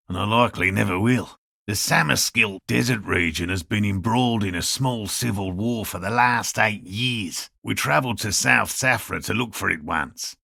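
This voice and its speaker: Nerdy serious cryptid researcher man in a game, super gravelly n raspy, bright edge, measured academic way of talking. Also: fairly low pitch, no echo, clean studio sound, full character voice. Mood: earnest, wistful. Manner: measured, articulate, academic, matter-of-fact. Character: earnest, scholarly male cryptozoologist, dry and slightly defensive. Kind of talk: video game character dialogue.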